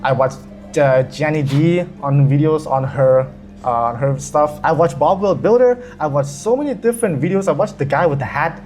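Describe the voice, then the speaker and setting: A man's voice: on the high side, lively intonation, normal speed, a little mellow, faint music behind. A young man from Thailand, a video creator and dungeon master, chatting casually in a rambling, self-deprecating way and cursing often. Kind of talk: casual chat.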